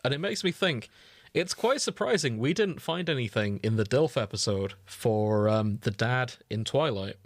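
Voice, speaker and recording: A man's voice: medium pitch, animated, moderate pace, dry recording, clean studio sound. A man co-hosting a podcast in casual chat, with a droll, understated way of talking. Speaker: podcast co-host.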